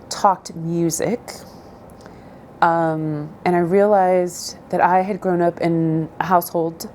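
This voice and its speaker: A middle-aged woman from southern Idaho whose first language is Spanish, answering interview questions in a measured, thoughtful way with frequent pauses. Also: on the low side, mellow, a little hiss or hum.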